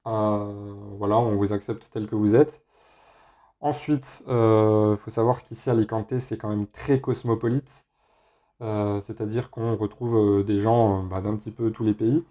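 A young man from France hosting a casual solo podcast, talking in a relaxed way with lots of hesitations. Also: fairly low pitch, a little slow. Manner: relaxed, many filler hesitations. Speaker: podcast host.

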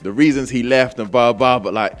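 A man's voice: medium pitch, brisk, faint music behind. A British man chatting casually as an interview guest, with a British accent and a laid-back, slangy manner. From Britain. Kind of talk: casual chat.

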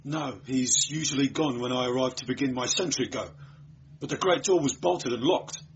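A man's voice: mid-range voice, normal speed, voice pushed up, lightly acted. A man voicing an anxious, dutiful night watchman who explains earnestly in an audiobook story. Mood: earnest, insistent. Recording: dull, noisy amateur recording.

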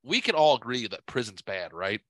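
A man's voice: mid-range voice, big pitch swings, brisk, bright, forceful tone, dry recording, low-quality recording. A man hosting a podcast while sick and stuffed up, talking in a crude, profane, rambling way. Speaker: podcast host. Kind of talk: casual podcast chat.

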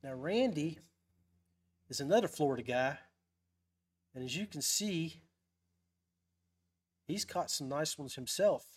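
A man's voice: a little high, expressive, a little slow, long pauses, breathy edge. A man hosting a casual live-stream podcast in a folksy, chummy way. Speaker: podcast host.

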